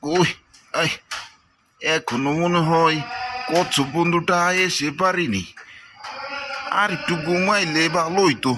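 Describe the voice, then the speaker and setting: A man's voice: a little high, slow, pauses often, music in the background. A man with a Bangladeshi accent voicing a playful, lazy, overconfident hare in a cartoon story, repeating colloquial phrases. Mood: drowsy, unworried. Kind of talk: amateur cartoon story voice acting. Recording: faint background noise.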